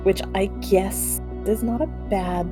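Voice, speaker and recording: A woman's voice: medium pitch, fairly even intonation, slow pace, music in the background. A woman playing a tabletop roleplaying game, talking casually as she works through her turn.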